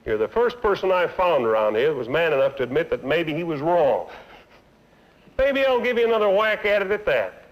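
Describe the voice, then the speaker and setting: A man's voice: fairly high pitch, sing-song, a little fast, rough recording. An actor playing an angry male car owner in a vintage training film, blunt and sarcastic as he complains about bad service. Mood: grudging. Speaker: dissatisfied customer. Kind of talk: 1950s training film acting.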